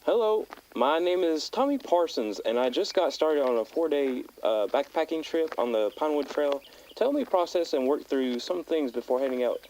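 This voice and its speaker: A man playing a thoughtful teenage hiker who speaks haltingly and earnestly into a personal audio diary. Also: a little high, lively intonation, a little mellow, low-quality recording. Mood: earnest, tentative. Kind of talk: found-footage audio log monologue. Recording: outdoor tape log with nature noise.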